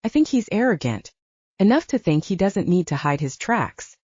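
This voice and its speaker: Female narrator as male investigator, cautionary, matter-of-fact, lively intonation, dull roomy audiobook recording. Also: mid-range voice, moderate pace, breathy edge.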